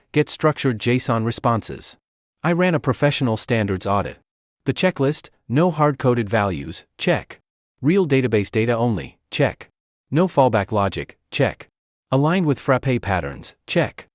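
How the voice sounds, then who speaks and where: A man narrates a software walkthrough from a script. His mid-pitched voice moves with lively intonation through short, clipped, list-like phrases. The sound is dry, free of room reflections. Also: no echo. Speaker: software demo presenter.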